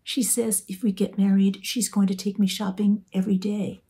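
Narrator lady doing a nervous young girlfriend, plaintive, a bit higher, warm dark tone. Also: flat delivery, normal speed, natural voice. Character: young woman, anxious girlfriend, timid. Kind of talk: audiobook character voice.

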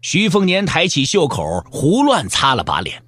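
Highly animated, higher-pitched male narrator. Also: moderate pace. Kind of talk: dramatic scripted audiobook narration.

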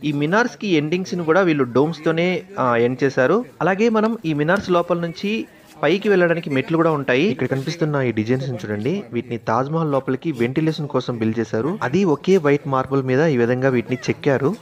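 A man's voice: on the high side, fast. Telugu man giving a travel vlog narration. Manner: informative, with frequent "aa" fillers and English words mixed in. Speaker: travel vlogger.

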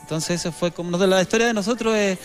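A man in his thirties with a Chilean accent, a guest singer chatting earnestly on a TV studio set into a handheld mic, with some halting restarts. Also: sits high, faint music behind. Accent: Chilean Spanish. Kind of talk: casual chat.

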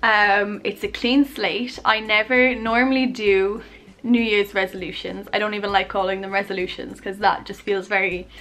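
A woman in her twenties rambling casually to camera in her bedroom, chatty and self-deprecating, her voice a little hoarse. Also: mid-range voice, steady tone, normal speed, fairly bright tone, rough recording. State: losing her voice, coughing. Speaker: YouTuber. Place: bedroom, indoors. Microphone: camera on tripod at arm's length.

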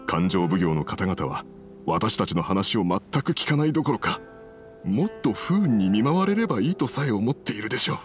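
An animated, troubled foreign merchant. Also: male voice, lowish voice. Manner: formal, humble, hesitant. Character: polite, troubled foreign merchant, apologetic and deferential. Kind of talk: Japanese game dub dialogue.

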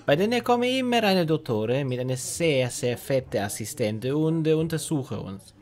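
A man playing a scheming, sentimental Italian inmate in an audio drama parody, with an Italian accent, halting broken grammar and sprinkled Italian words. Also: fairly high pitch, lively intonation, darkish tone, close to an everyday voice. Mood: conspiratorial.

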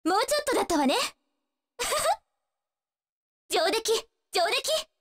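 A woman's voice: very high voice, big pitch swings, takes it slowly, long reverb tail, heavily acted. A woman voicing a spirited, cocky young female martial-arts fighter in a fighting game, brash, taunting and determined. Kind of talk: Japanese fighting-game voice acting, short battle lines.

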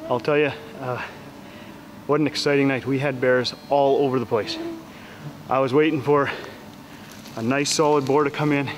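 A man speaks as a hunter giving a recap of his hunt. His pitch is medium, and the outdoor field recording carries clear background noise. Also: fairly even intonation, moderate pace. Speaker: bear hunter. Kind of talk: recap to camera after a hunt. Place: outdoors in forest.

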